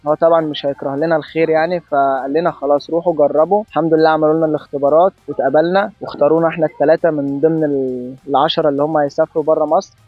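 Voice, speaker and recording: A late-teen man with an Egyptian accent gives a casual personal account. His voice sits fairly high and moves very fast. The sound is dry and a little muffled, with music faintly behind. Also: fairly even intonation, dry recording. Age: late teens. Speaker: football academy player.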